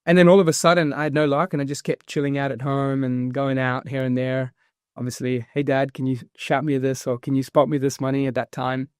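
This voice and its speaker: Energetic New man, dry sound. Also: medium pitch, clear, resonant tone, no room sound. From New Zealand. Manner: energetic, fast-flowing explanations with fillers. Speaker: guest, property investment founder. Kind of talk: casual interview talk.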